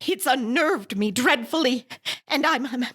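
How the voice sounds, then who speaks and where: A high, swooping voice of a nervous New York wife and invalid, acted by a woman, in a suspense radio play. She is demanding and self-pitying, stammering with fret and quick to turn indignant. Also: animated, a bit bright, slightly rough, full character voice. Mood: nervous, fretful. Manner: fretful, indignant, flustered with stammered restarts. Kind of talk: suspense radio drama acting.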